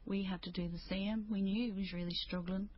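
A woman's voice: medium pitch, steady tone, brisk, muffled recording. A woman with a Northern Irish accent talking personally as a parent in an intimate conversation.

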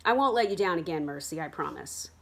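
A woman's voice: low-pitched, animated, fast talker, gravelly, full character voice. A woman voicing a spirited, headstrong teenage girl who pleads earnestly, reading from a novel. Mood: earnest pleading.

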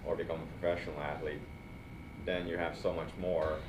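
A deep-voiced man with a Finland Swedish accent explains things in a measured, thoughtful way. He sounds like he is recorded across a roomy training studio, with the mic at a distance and a noisy floor of sound. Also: little pitch movement. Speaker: guest cycling coach. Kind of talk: casual podcast interview. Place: training studio, seated in recovery chairs.

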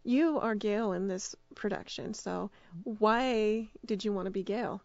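A woman's voice: medium pitch, a little slow, creaky, a little mellow, no room sound, dull audio. A woman speaking as a radio drama producer and director, giving casual answers in an interview.

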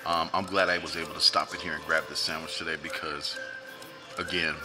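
Food vlogger, thirties, very low voice, eager and jokey, lapel mic in a diner, music under it. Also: male voice, little pitch movement, brisk. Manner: enthusiastic, joking, talks while eating. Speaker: food reviewer. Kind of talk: casual food review vlog. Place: restaurant dining room, indoors.

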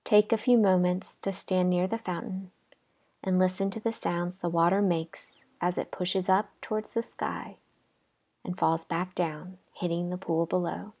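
A woman leading a guided imagery relaxation exercise in a calm, gentle, unhurried manner with pauses. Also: lowish voice. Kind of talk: guided imagery meditation narration.

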